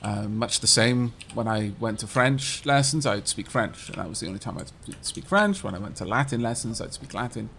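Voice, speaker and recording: A man's voice: lowish voice, animated, fairly quick, creaky, hardly any pauses, a bit bright, slight background noise. A young man with a Welsh accent chatting casually while playing a game with a friend, rambling with lots of fillers. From Wales. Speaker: gaming streamer. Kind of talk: casual co-op gameplay chat.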